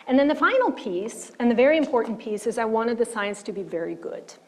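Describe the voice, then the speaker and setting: Swiss-born woman historian, middle-aged, lecturing from slides, measured and explanatory, slightly high voice. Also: expressive. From German-speaking Switzerland. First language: Swiss German. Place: lecture hall.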